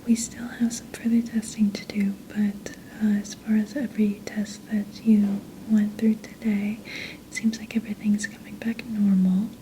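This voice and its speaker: A woman speaking in a breathy whisper, gently and soothingly, as a medical examiner in an ASMR role-play. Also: medium pitch, low-quality recording.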